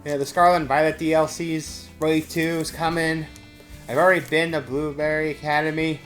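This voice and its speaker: A performer playing an excitable Pokemon professor who hosts a segment in a theatrical, rambling way. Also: mid-range voice, a little fast, soft background music, close to an everyday voice. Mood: rambling, frazzled. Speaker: segment host. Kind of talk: scripted comic character segment.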